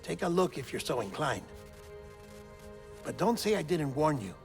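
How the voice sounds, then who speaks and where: An earnest, creaky-voiced operative. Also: male voice, fairly high pitch, very animated, normal speed. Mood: confiding, wry. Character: earnest, wry male intelligence operative briefing an old comrade. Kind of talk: cinematic video game cutscene acting. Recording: game music behind the voice.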